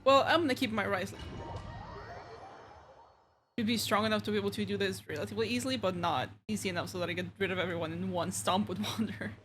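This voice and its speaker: Female game streamer: mid-pitched, casual, slangy live reactions, clear music behind. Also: fast talker, fairly bright tone.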